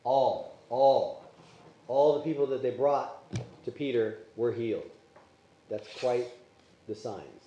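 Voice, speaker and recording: A man's voice: mid-range voice, slow pace, long pauses, warm tone, dull audio, a little hiss or hum. A man teaching a Sunday school Bible class, asking the class questions as he goes, with Polish as his first language. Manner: conversational, asks the class questions. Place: church classroom. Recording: echoey room.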